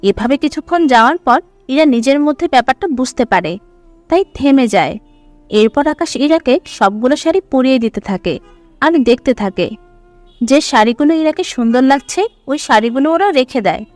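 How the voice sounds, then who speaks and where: A woman's voice: high voice, expressive, fairly quick, darkish tone, dry recording, soft background music. A woman narrator reading a scripted dramatic story.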